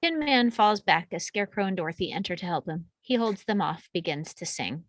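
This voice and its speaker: A woman's voice: fairly low pitch, expressive, a little fast, dry recording. Dry-sounding woman reading stage directions. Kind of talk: scripted narration at a table read.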